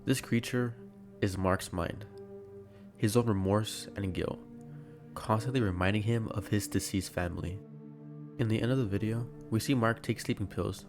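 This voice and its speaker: A man's voice: on the low side, moderate pace, over music. A man giving scripted narration, recapping a horror story as a video essay narrator.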